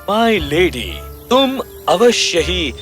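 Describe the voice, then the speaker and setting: A man's voice: sits high, sing-song, drawn-out words, a bit bright, faint music behind, heavily acted. A man voicing a gallant, courteous young prince in a fairy tale, speaking in an earnest, courtly way. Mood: courteous, eager. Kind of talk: audio fairy tale voice acting.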